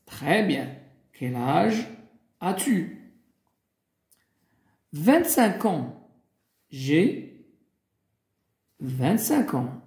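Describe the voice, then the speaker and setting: A man teaches a beginner lesson at a very slow, careful pace, lingering over every example. His voice is dark and gravelly, and his pitch rises and falls a lot as he stresses each word. The room around him is echoey. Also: very animated. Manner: slow, deliberate, spells out and repeats examples. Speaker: French teacher.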